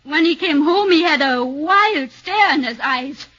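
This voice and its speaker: A woman's voice: sits high, expressive, fairly bright tone. A cool Austrian woman witness. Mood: dramatic. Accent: Austrian German. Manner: controlled, measured testimony. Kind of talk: 1940s radio acting. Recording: dull lo-fi old radio broadcast.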